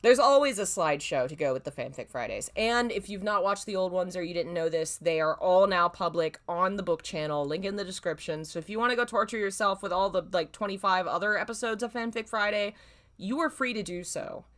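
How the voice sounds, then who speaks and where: A woman's voice: mid-range voice, a little fast, fairly bright tone. A woman hosting a casual livestream, talking in a self-deprecating, dramatically exasperated way. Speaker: livestream host. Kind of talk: casual livestream chat, reading own old fan fiction.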